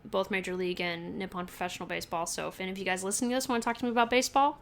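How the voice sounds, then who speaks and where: A woman's voice: medium pitch, fairly even intonation, very fast, creaky. A woman in her thirties co-hosting a podcast, chatting in a rambling way and laughing often. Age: 30s. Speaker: podcast co-host. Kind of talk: casual chat.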